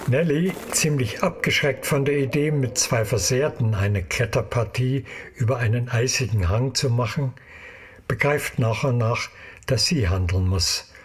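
A man's voice: medium pitch, measured pace, slightly rough. A man narrating an audio drama in a scripted storytelling style.

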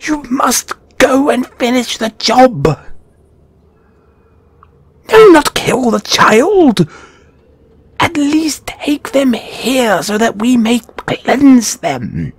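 A man's voice: very high voice, sing-song, extremely slow, pauses often, slightly rough, no echo, heavily acted. A man acting a squeamish, timid gargoyle handler in an audio roleplay, stammering and speaking with nervous, formal politeness. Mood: alarmed, pleading.

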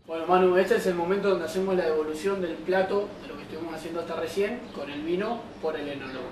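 High-voiced young Argentine male host. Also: fast, over music. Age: young adult. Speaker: cooking show host. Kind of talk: unscripted cooking show presenting. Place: winery kitchen and open-air patio. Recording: echoey, distant mic, noisy ambience.

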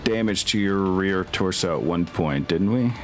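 A man's voice: deep voice, moderate pace. A man casually running an online tabletop wargame as game master, thinking aloud through rules and rolls. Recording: music running under the voice. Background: music.

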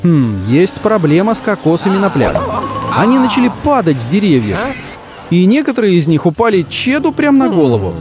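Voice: mid-range voice, very animated, brisk, close to an everyday voice. An actor voicing an enthusiastic, friendly children's cartoon narrator who exclaims and speaks directly to the truck hero. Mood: excited, urging. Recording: music behind the voice.

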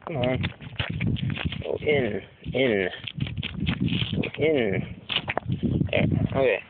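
A man giving a casual, unscripted demonstration, thinking aloud in a hesitant, halting way, recorded outdoors on a handheld camera. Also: mid-range voice, animated, narrow, tinny audio. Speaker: survival hobbyist demonstrating knots. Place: outdoors under trees at picnic table. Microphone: handheld camera held by the speaker. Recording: noisy outdoor handheld camera, clicks and rustle.

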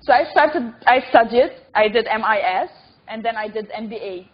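A woman's voice: a little high, normal speed. Earnest young woman on a muffled call. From Lebanon. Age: young adult. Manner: earnest, simple direct sentences, repeats phrases for emphasis. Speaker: invited speaker giving testimony. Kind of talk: personal testimony on a webinar.

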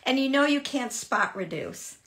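Bright-voiced woman in her sixties. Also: high-pitched, unhurried. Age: 60s. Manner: chatty, animated, laughs often. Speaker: fitness host. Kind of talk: casual live Q&A. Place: home room with shelves, indoors.

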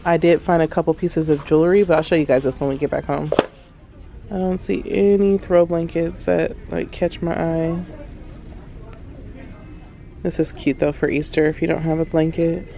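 A woman's voice: low voice, fairly even intonation, moderate pace, vocal fry, long pauses, mellow, a little hiss or hum. A woman in her thirties vlogging casually, talking directly and familiarly to her viewers. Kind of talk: casual chatty vlog. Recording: music behind the voice. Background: background music.

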